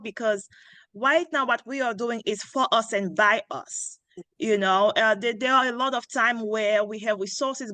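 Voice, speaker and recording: A woman's voice: on the high side, a bit bright, no room sound. A woman in her thirties from Haiti, a founder telling her story earnestly on a video call, a little under the weather. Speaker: founder pitching her coffee brand. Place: small indoor room.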